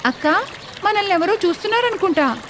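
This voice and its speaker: A woman's voice: very high-pitched, expressive, fairly quick, in a large hall, noisy background, voice pushed up. A woman voicing a timid young village daughter-in-law in a cartoon story who speaks in an anxious, earnest way. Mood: worried.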